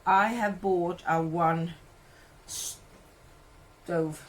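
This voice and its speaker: A woman's voice: fairly low pitch, steady tone, drawn-out words. A woman from Sweden with a Swedish accent, chatting casually about her cooking in a home kitchen, rambling and correcting herself as she goes. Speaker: cooking vlogger.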